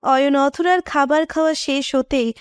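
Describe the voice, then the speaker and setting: A woman's voice: high voice, steady tone, normal speed, no room sound, clean studio sound. A woman reading scripted narration of a romantic story.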